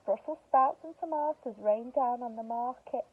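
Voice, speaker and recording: A woman's voice: fairly high pitch, moderate pace, narrow, tinny audio, slight background noise. A woman speaking to young children as a teacher and story reader, explaining simply, with questions and emphasis. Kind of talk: speaking to young children, reading a picture book.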